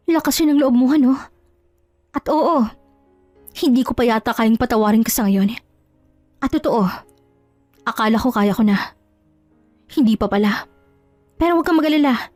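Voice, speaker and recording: Over background music comes a high, closely recorded voice: a betrayed wife played by a woman in an audio drama. She is hurt and proud, scolding with scornful questions and resolute in her indignation. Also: darkish tone, dry recording, lightly acted. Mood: bitter, firm. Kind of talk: Tagalog audio drama acting.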